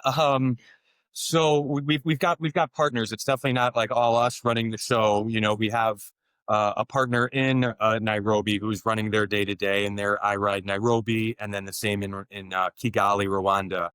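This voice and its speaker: A young man with a lowish voice talks through his answers in a relaxed, conversational way. He often restarts a phrase while he gathers his thoughts. The sound is close and dry. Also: normal speed, smooth, no echo. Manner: easygoing, thinks aloud with many restarts. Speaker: tour business co-founder.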